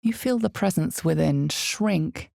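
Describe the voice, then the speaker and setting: An ominous female storyteller narrates a dark fantasy game in an extremely low voice. Her delivery is slow and deliberate, lingering dramatically on phrases. The sound is dry and close, with no room around it. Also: expressive, vocal fry, no echo, heavily acted. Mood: ominous, grave.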